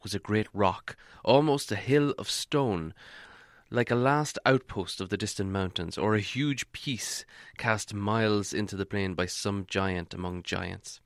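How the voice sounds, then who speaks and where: A man narrating an audiobook in a measured storytelling way, softening on descriptive passages. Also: fairly low pitch, no echo, studio quality. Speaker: audiobook reader.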